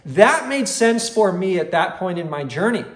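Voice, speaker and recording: A man in his forties with a high-pitched voice talks straight to his viewers as a channel host. He explains things emphatically, repeating words to drive them in. The room adds a clear echo around his voice. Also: big pitch swings, normal speed. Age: 40s. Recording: roomy, echoing room sound.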